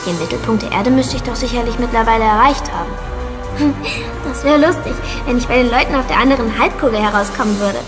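A woman's voice: on the high side, fast, over music, lightly acted. A woman playing a curious, chatty, plucky little girl in a German audio drama, wondering aloud to herself.

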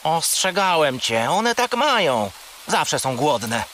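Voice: medium pitch, sing-song, takes it slowly, a bit bright, slight background noise. An actor voicing a grumpy, sulky little male furry pet in a children's audio play, with a matter-of-fact manner.

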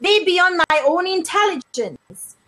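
A middle-aged woman, hosting a religious video chat and explaining her faith in an earnest, deliberate way. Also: very high-pitched, expressive, sharp tone. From Hungary. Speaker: host. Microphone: earbuds.

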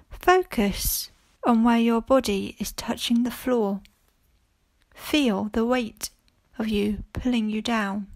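A woman teacher leading a guided mindfulness body scan for children, speaking calmly and gently in short phrases with long pauses. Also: a little high. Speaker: primary school teacher leading a guided meditation.

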